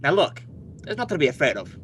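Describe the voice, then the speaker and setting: A man's voice: fairly high pitch, sing-song, quick pace, fairly bright tone, a little hiss or hum. A male actor playing a brash, stubborn young husband in an old-time radio comedy, emphatic and blustering. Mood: stubborn, irritated.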